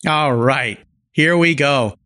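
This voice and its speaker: A man's voice: mid-range voice, slow pace, pushed and bright. A man hosting a car podcast interview, enthusiastic and fond of playful car puns. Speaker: podcast host.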